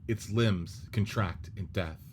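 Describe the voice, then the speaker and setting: A man narrating the stage directions of a radio play script in a steady, descriptive reading. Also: lowish voice, slow pace, slight background noise.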